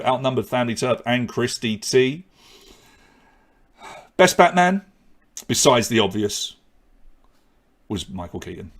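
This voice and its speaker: A man hosting a live online pub quiz. He reads out questions and scores and drops in joking asides. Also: on the low side. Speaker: quiz host.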